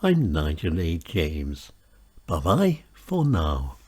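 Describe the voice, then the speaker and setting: Deep, slow, husky-voiced male narrator. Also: wildly swooping pitch, darkish tone, dry recording. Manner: measured, solemn, reflective delivery. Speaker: host and writer reading his own essay. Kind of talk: scripted reflective narration.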